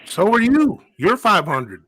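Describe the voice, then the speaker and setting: A man in his forties argues his case in a casual sports chat, circling back and repeating himself to press the point. His voice sits a little high for a man. The sound is close and dry, with no room around it. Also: big pitch swings, a little slow, fairly bright tone, breathy edge, no echo. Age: 40s. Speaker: podcast co-host.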